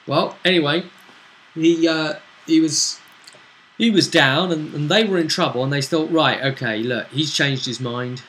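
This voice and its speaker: A man chatting casually as game master, recapping a tabletop role-play session in a halting, storytelling way with pauses. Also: a little high, a bit bright, a little hiss or hum, rough recording.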